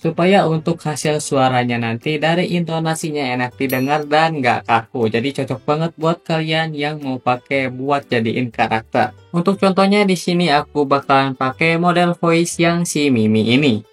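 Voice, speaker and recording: A man's voice: on the high side, moderate pace. A man narrating a casual, scripted tutorial as its host. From Indonesia, Sunda region. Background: background music.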